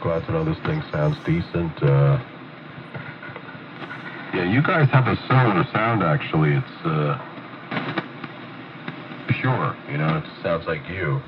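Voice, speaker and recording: A very deep-voiced man talks casually over the air, his voice muffled and congested from a cold. The sound comes through a tinny radio receiver under a layer of clear static. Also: animated, takes it slowly, long pauses. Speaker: ham radio operator.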